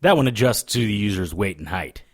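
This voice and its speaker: An actor playing a wisecracking, world-weary private detective in an audio drama, with a dry, clipped, sardonic delivery. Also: male voice, fairly low pitch, sing-song, fairly quick.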